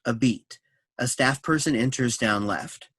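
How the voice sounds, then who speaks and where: A man's voice: mid-range voice, a little slow, a bit bright, slightly rough, dry recording. A man reading scripted stage directions as narration for a staged reading of a play.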